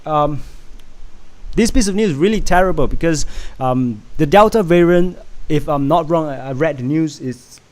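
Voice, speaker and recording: A man hosts a casual livestream from home, talking through the news and answering chat. His voice sits a bit higher than most men's, and he rambles with lots of "uh"s, restarts and repeated words. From Singapore. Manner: rambling, halting, many fillers and restarts. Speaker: livestream host reading chat. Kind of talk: casual live stream chat.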